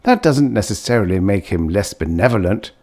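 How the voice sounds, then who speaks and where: A man acts out a naive, priggish young man from a science fiction storybook. He speaks stiffly and earnestly in swooping, emphatic phrases, turning indignant and halting for the right word. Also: lowish voice, lively intonation, a little mellow. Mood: earnest, tight.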